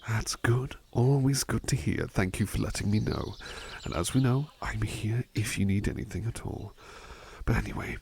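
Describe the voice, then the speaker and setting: Man acting as devoted butler, ASMR roleplay, lowish creaky voice, tender, polite, hesitant, faint background noise. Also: normal speed. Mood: attentive, courteous. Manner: tender, hesitant, courteous, softly reassuring. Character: devoted, gentle, polite male butler companion. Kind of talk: ASMR roleplay, soft personal attention.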